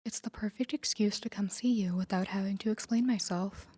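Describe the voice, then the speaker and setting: A woman playing a guarded, tender mafia boss in an intimate audio roleplay, speaking softly and breathily to a lover in a measured, confiding way. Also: medium pitch, slightly rough, close to an everyday voice. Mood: guarded, confessional. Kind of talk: intimate scripted audio roleplay addressed to the listener.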